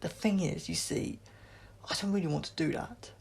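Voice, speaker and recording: A man's voice: a little high, normal speed, gravelly, breathy edge, voice pushed up. A man voicing a quirky, chatty adventurer hero in an audiobook story, with an exclamatory, playful and rambling manner. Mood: breezy stalling.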